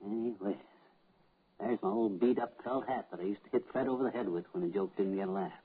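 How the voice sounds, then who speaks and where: An actor playing a boastful, folksy middle-aged husband and former vaudeville comic, blustering and rambling in 1950s radio comedy style. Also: male voice, fairly low pitch, quick pace, husky. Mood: musing. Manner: blustering, rambling, self-assured. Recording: muffled, narrow old radio recording.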